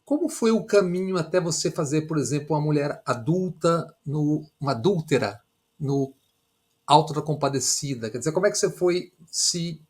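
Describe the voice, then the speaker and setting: A man hosting an interview, giving formal, measured introductions and asking questions. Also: a little high, expressive, slightly rough. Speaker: interview host.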